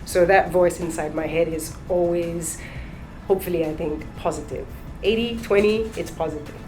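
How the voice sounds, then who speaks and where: A woman's voice: lowish voice, fairly even intonation, moderate pace, noisy background. A middle-aged woman, a trainer, chatting earnestly on a panel and telling her own story. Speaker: guest trainer. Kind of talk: casual panel chat.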